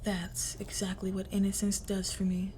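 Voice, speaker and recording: A woman playing a crime boss's cold, menacing young daughter in an intimate audio roleplay, speaking in a hushed, deliberate near-whisper. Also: mid-range voice, monotone, slight background noise, close to an everyday voice. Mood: pensive, intimate. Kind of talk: intimate scripted audio roleplay, dramatic monologue.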